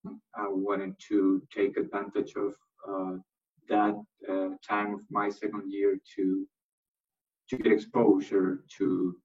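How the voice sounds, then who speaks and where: A young man from Chile talks slowly in a low, level voice, stopping often on fillers and restarts. He sounds a little distant and dull, as if picked up by a lo-fi mic set back from him. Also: completely flat delivery, darkish tone. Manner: hesitant, many fillers and restarts. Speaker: interview guest, MBA graduate. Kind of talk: remote interview answers.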